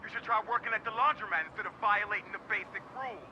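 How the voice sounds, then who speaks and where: Game role: sneering gang heavy, mock-friendly threats, muffled lo-fi sound with faint vehicle noise. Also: male voice, high voice, racing, bright, forceful tone. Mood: taunting. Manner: taunting, falsely friendly. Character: mocking, menacing male gang enforcer.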